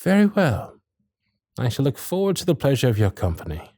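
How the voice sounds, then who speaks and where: A man playing a gallant, protective Victorian gentleman suitor in a romantic audio roleplay, speaking in formal, genteel, old-fashioned phrases. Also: mid-range voice, wildly swooping pitch, a little fast, no room sound, clean studio sound. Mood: courtly, flirtatious. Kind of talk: romantic period-drama ASMR roleplay.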